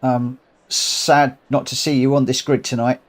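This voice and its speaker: A man streams a racing game with his own live commentary. He has a cold and a runny nose. He rambles and thinks aloud, often stopping to correct himself, and his voice sits at an ordinary mid pitch. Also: level tone, a little slow. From United Kingdom.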